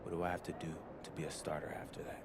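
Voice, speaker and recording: A man's voice: very deep voice, level tone, racing, very noisy. An actor playing an eager, confident young rookie basketball player in a video game, casual and respectful in his talk. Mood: confident, persuasive. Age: young adult. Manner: casual, self-assured, respectful. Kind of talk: naturalistic video game cutscene dialogue.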